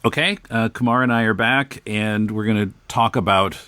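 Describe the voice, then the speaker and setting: A man co-hosting a casual discussion podcast, talking in a measured, somewhat hesitant way. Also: lowish voice, over loud music. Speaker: podcast co-host. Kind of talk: casual discussion of a graphic novel.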